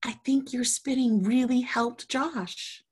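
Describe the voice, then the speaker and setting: A woman voicing a fond, patient mother spider who gently teases and explains, in a children's storybook. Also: fairly high pitch, slow, breathy edge, pitched above the performer's own voice, full character voice. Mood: patient, gentle. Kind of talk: children's storybook read-aloud.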